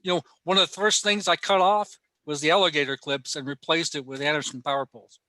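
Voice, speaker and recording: A man's voice: a little high, steady tone, fast talker, bright, forceful tone, dry recording. A man giving an informal presentation and answering questions on an online video call, chuckling now and then as he talks. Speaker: presenter at a ham radio workshop.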